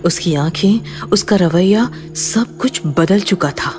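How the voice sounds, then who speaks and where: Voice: mid-range voice, animated, slow, music in the background. A narrator reading a scripted horror story.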